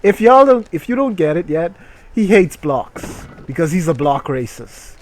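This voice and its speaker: A man's voice: on the high side, very animated, normal speed. A man reading a story aloud as a streamer and breaking off for irreverent, sweary commentary. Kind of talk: dramatic reading with mocking live commentary.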